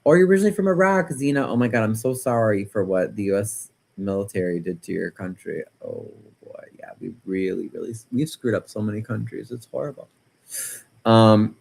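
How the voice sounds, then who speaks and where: An American man hosting a casual livestream, chatting and commenting in an animated, opinionated, rambling way, often repeating words for emphasis. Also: on the low side, normal speed, gravelly. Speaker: livestream host. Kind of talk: casual livestream chat and political commentary, reading viewer comments.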